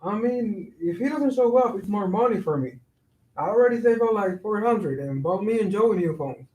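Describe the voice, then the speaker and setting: Actor as easygoing young male laborer, high soft-toned voice, hesitant, stage reading in echoey room. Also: lively intonation, moderate pace, lo-fi sound. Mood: evasive, casual. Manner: hesitant, casual. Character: evasive, easygoing young construction worker, American-born. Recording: roomy, echoing sound.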